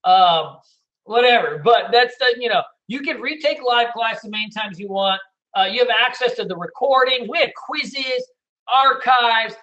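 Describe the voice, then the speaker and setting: A middle-aged man teaching day trading in a casual, loud and blunt way, hooting and swearing as he talks over a screen share. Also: extremely high pitch, moderate pace, muffled recording. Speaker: trading educator.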